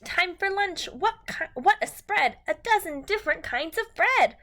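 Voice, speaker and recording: A woman's voice: extremely high pitch, expressive, moderate pace, fairly bright tone. A woman teacher doing a read-aloud storytime for young children in a sing-song manner.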